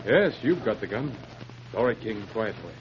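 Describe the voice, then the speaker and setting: An actor playing a calm, resolute police sergeant with a measured, firm delivery in old-time radio drama style. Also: male voice, on the low side. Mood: calm, challenging. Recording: muffled, noisy vintage radio recording.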